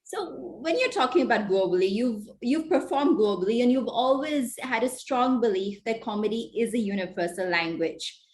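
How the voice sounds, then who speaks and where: A woman's voice: a little high, expressive, normal speed, a little mellow, low-quality recording. A woman interviewing a guest, asking long, winding questions.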